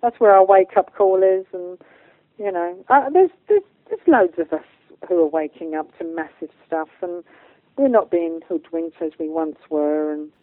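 A woman's voice: on the low side, lively intonation, normal speed, no room sound. A woman speaking informally as a spiritual interview guest, earnest and emphatic in colloquial language. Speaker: interview guest, medium and channeller. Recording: narrow phone-like line, dry.

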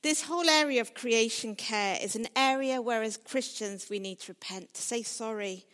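A woman giving a sermon in a measured, earnest way, as a preacher addressing a church. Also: a little high, a bit bright. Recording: reverberant hall sound.

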